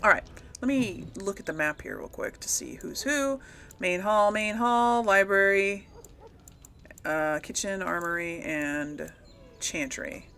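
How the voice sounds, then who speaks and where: A woman's voice: mid-range voice, slow, a bit bright, clear, resonant tone. A woman hosting a casual gameplay video, thinking aloud as she plays. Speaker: gaming video host. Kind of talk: casual role-play gameplay commentary.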